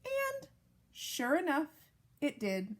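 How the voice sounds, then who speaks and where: A woman reading a picture book aloud to young children, with deliberate, expressive phrasing and casual asides. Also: high-pitched. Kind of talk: read-aloud storytime narration with casual asides.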